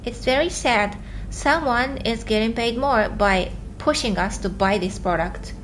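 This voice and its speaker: A woman from Japan with a Japanese accent, chatting casually on a live stream from home, talking fast and earnestly with halting restarts as she reads and answers viewer comments. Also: fairly high pitch, slight background noise, poor audio.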